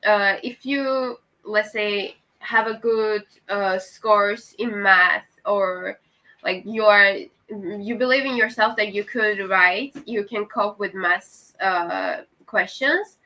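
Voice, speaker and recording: A young woman student, not a native English speaker, answering panel questions haltingly with frequent hesitations. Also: medium pitch, sharp tone, rough recording. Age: young adult.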